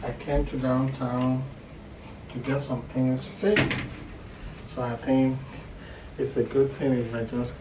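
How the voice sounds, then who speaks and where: A man's voice: mid-range voice, level tone, slow pace, pauses often. A middle-aged man from Nigeria, easygoing and agreeable as he chats with his daughter on her vlog. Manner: easygoing, short agreeable replies. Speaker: guest, the vlogger's father. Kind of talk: casual conversation. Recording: echoey room with audible noise.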